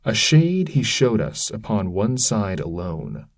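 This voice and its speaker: A man reading narrative verse aloud in a measured, solemn manner, as a scripted dramatic recitation. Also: fairly low pitch, sing-song, vocal fry. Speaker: reader.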